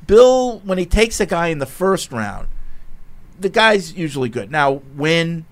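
A man's voice: a little high, big pitch swings, a little slow. A man hosting a sports radio show, reading out show details and taking calls in an easygoing way. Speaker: radio host.

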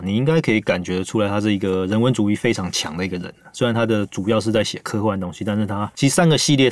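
Fast-talking low-voiced Taiwanese man. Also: no room sound. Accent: Taiwanese Mandarin. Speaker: host. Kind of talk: casual book discussion.

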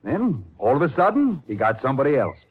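Lively, chatty camp section leader. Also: male voice, mid-range voice, quick pace, husky. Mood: easygoing, gossipy. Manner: easygoing, chatty, informal. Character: friendly, gossipy male work-camp section leader. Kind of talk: old-time radio drama acting. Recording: tinny, band-limited 1950s radio broadcast.

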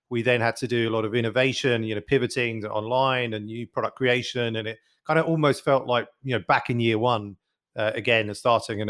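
A man's voice: medium pitch, a bit bright. Rambling podcast host, man. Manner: rambling, many fillers and repeats. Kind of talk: casual interview chat.

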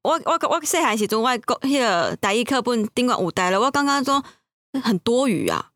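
A Taiwanese-accented woman chats casually at a quick pace. Her intonation moves around a lot, and the recording is dry and close. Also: on the high side, very animated, a bit bright, no echo. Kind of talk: casual chat.

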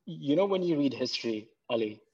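A man's voice: mid-range voice, expressive, brisk, dark tone, slightly rough, highs cut off. A man from Pakistan, a guest in a casual conversation, talking earnestly and often repeating words as he gathers his thoughts. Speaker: podcast guest.

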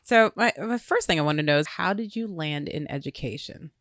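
Woman, show host, low voice, dry close sound, upbeat. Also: lively intonation, brisk, a bit bright, no room sound. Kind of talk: show hosting.

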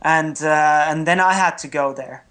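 A young man with an Estonian accent, a non-native English speaker, giving candid, good-humoured interview answers as a triathlete and pausing to search for words. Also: on the high side, a bit bright, clear, resonant tone. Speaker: triathlete guest.

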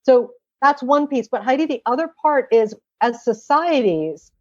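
A woman's voice: high-pitched, animated, unhurried, a little mellow, dry recording, lo-fi sound. An American woman giving explanatory, emphatic interview answers as a policy expert, telling anecdotes and posing rhetorical questions. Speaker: interview guest, former government policy expert.

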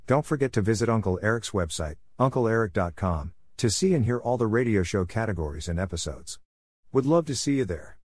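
A man's voice: lowish voice, darkish tone, dry recording. A man reading a scripted episode introduction and promotion as a podcast host.

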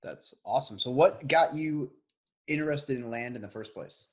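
A man's voice: medium pitch, normal speed. A man hosting a podcast, introducing his guest in an upbeat, enthusiastic, casual way. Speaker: podcast host.

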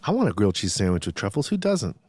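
A man's voice: lowish voice, wildly swooping pitch, quick pace, a little mellow, husky, no room sound, clean studio sound. A man co-hosting a casual podcast chat, throwing in sarcastic jokes. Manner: sarcastic, joking. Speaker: podcast co-host.

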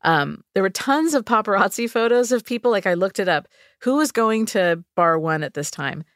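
A woman hosting a podcast, telling a story in casual chat, animated and jokey. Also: mid-range voice, moderate pace, dry recording, clean studio sound. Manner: animated, jokey, mimics voices.

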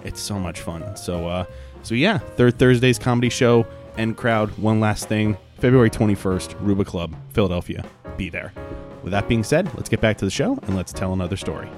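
A man's voice: fairly low pitch, animated, moderate pace, darkish tone, faint music behind. A man hosting a podcast in casual chat, laid-back and rambling, with lots of fillers. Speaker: podcast host.

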